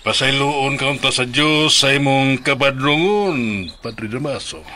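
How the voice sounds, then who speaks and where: A man's voice: medium pitch, unhurried, noisy background, natural voice. A man acting in a radio drama as a powerful, corrupt small-town boss, smug and commanding. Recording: loud music behind the voice.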